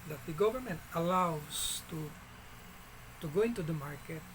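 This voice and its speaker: A man with a Filipino accent telling a story in a casual vlog, halting, with frequent restarts and fillers. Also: fairly high pitch, a bit bright, slight background noise, poor audio. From Philippines.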